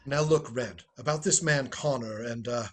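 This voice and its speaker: A man's voice: mid-range voice, moderate pace, close to an everyday voice. A man playing a suave, roguish ex-husband in radio comedy style, breezy, wry and needling, on a home recording. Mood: wry, placating. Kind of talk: 1940s radio comedy acting.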